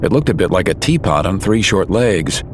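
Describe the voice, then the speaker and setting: A man narrating a story in a calm, measured, scripted way. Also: low voice, background noise. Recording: music under narration.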